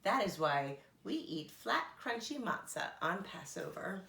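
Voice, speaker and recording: A woman's voice: on the low side, big pitch swings, unhurried, vocal fry, bright, forceful tone, echoey room, slight background noise, full character voice. A woman voicing a furry blue monster in a children's storybook, eager and polite, explaining things with earnest emphasis.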